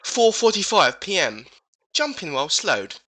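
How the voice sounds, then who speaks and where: A woman's voice: on the low side, sing-song, a little slow, fairly bright tone. A woman co-host reading gamer forum posts aloud for comedy, with a mock-serious, exaggerated delivery.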